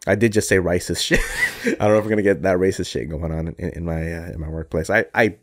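A young man co-hosting a casual chat, animated and jokey, swearing and laughing often. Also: low voice, fast. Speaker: podcast co-host.

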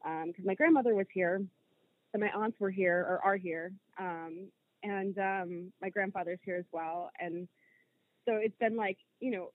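A woman's voice: medium pitch, dry recording, narrow, tinny audio. American woman in a close, dry recording. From Rhode Island, United States. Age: 30s. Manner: informal, candid, with hesitant pauses and hedging. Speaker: interview guest, political candidate. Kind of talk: casual personal conversation.

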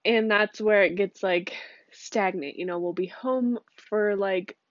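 A woman's voice: medium pitch, measured pace, no echo, lo-fi sound. Sick young woman chatting casually. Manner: rambling, casual, laughs often, says um and like. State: sick with a cold and cough. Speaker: podcast host. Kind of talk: casual solo podcast chat. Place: home room with a fan.

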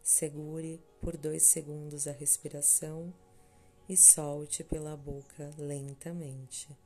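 A woman with a Brazilian accent leading a scripted guided meditation in a soothing, measured way, with long pauses between short phrases. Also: low voice, flat delivery, warm tone. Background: background music.

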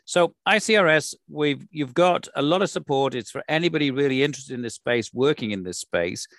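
A man's voice: a little high, animated, fairly quick, a bit bright, dry recording. A man hosting an interview, genial and with frequent fillers. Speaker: podcast host. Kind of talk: conversational interview.

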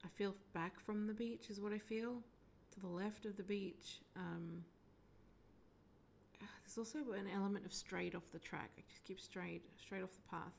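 A woman with a heavy, gravelly fry in her voice, hoarse and croaky as she talks. She speaks at a middling pitch and drifts between hesitant musing and half-whispered asides while she gives a psychic reading. A faint hiss sits under her voice. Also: steady tone, a little slow. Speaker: psychic medium. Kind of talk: solo psychic reading monologue.

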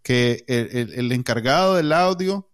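A man co-hosting a podcast in casual chat, animated and joking, with hesitant restarts. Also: medium pitch, unhurried. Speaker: podcast co-host.

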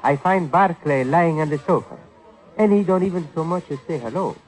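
An actor playing a sly, needling blackmailer in an old radio crime drama, talking in ungrammatical street slang with mock friendliness. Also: male voice, on the high side, fairly quick, a little mellow, lightly acted, low-quality recording. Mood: mock-casual menace. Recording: old radio recording, music behind.

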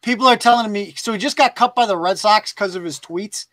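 A middle-aged man hosting a live talk show, chatting casually and reading posts aloud with animated laughs. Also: sits high, quick pace. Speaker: host.

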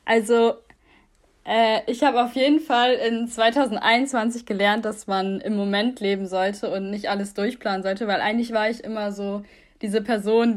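A twenty-year-old woman joins a friends' podcast. She talks in an earnest, reflective way, with a voice of middling pitch. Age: 20s. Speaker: guest. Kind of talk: casual chat.

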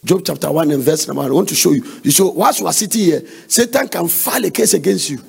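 A Ghanaian man preaches in a very gravelly, husky voice pitched fairly high. He is emphatic, repeating phrases for weight and slipping into conversational asides and questions to his listeners. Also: animated, fast talker, darkish tone. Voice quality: raspy, gravelly. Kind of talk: sermon with questions to the congregation.

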